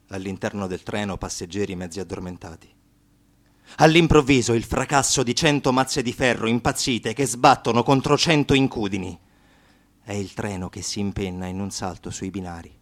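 A man narrating a scripted radio drama in a dramatic storytelling style. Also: lowish voice.

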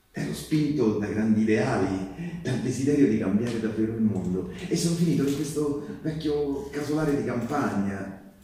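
An actor playing a male wartime partisan in a theatrical monologue, speaking intimately and haltingly to a loved one, with dramatic pauses. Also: on the low side, warm tone, a little hiss or hum, natural voice. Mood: tender, reflective. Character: male wartime partisan tenderly recalling youth in the mountains. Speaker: stage actor performing a monologue. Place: live stage performance, recorded from a distance. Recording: distant microphone in a very echoey room.

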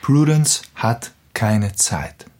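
Schroffer Mann in mittlerer Stimmlage. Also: male voice, mid-range voice, expressive, drawn-out words, darkish tone. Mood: curt, refusing. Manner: curt, reproachful. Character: prickly, disapproving doctor at aid post. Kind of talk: naturalistic radio drama acting.

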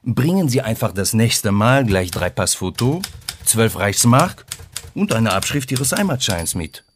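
An actor playing a brisk, officious male consulate clerk who drops French phrases in a radio play. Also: on the low side, sing-song, noisy background. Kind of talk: German radio play acting. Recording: clear typewriter clatter behind voice.

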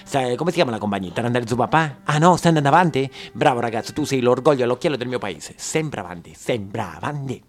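A man's voice: medium pitch, expressive, fast, hardly any pauses, lightly acted. A man playing a glad-handing, self-important small-town mayor in a stage monologue. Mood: patronising.

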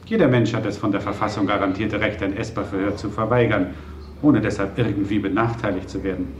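A man's voice: lowish voice, fairly even intonation, a little fast, a little hiss or hum, natural voice. An actor in a German radio play, playing a firm, formal lawyer who guards his client. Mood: firm, official. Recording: dull, echoey old radio recording.

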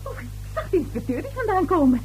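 A high-pitched, wildly animated gossipy neighbour in a radio drama. She prattles quickly and self-importantly, full of small indignation. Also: faint music behind. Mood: fussy, indignant. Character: chatty, gossipy, self-satisfied female neighbour who drops in.

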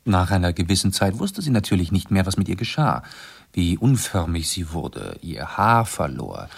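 An actor playing an urbane, self-assured aristocratic amateur sleuth in a German radio play, explaining precisely and theatrically. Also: male voice, sits low, very animated, moderate pace, gravelly, breathy edge, exaggerated voice. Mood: explaining gravely.

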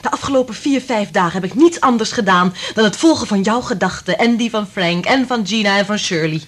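An actress playing a sober, troubled woman scientist in a Dutch radio drama, speaking in a measured, serious way. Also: mid-range voice, lightly acted. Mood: strained, weary.

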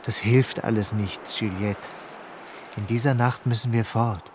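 An actor playing a persuasive lover who talks low, coaxing and insistent, in a noisy old radio play recording. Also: male voice, steady tone, a little slow, lightly acted. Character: smooth persuasive male lover, urging escape. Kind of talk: radio drama acting.

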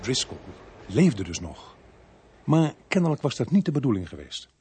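A man's voice: medium pitch, big pitch swings, normal speed, mellow, a little hiss or hum, lightly acted. An actor playing a dry, matter-of-fact male police inspector who questions and narrates in a radio play. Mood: dry, reflective. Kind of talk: Dutch radio play, dialogue and first-person narration.

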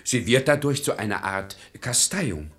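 Stubborn, bright-voiced, animated domineering father. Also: on the low side, measured pace, heavily acted. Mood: stern. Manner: emphatic, imperious, dismissive. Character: stubborn, gullible, domineering middle-aged father and husband. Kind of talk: classic verse comedy radio play acting.

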